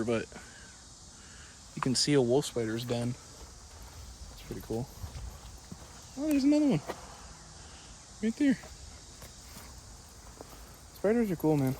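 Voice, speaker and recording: A man's voice: medium pitch, a little mellow, low-quality recording. A man talking in a casual, rambling, slangy vlog style, chatting to viewers as he goes. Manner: laid-back slangy talk, calls listener dude. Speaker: vlogger. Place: outdoors in a yard. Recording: outdoor recording with clear insect and ambient noise.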